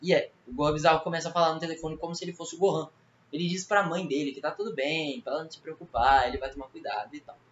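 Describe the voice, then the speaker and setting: Brazilian guy doing a casual slangy story recap, highish voice, roomy echo. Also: fairly even intonation, racing. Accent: Brazilian Portuguese. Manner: colloquial, slangy. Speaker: video creator recapping a fan horror series. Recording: roomy echo, muffled, faint noise.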